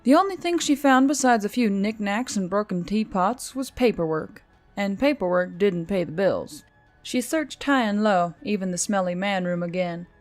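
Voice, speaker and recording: A woman narrates a piece of fiction in a medium-pitched voice. She reads scripted prose as an audiobook narrator, with music playing behind her.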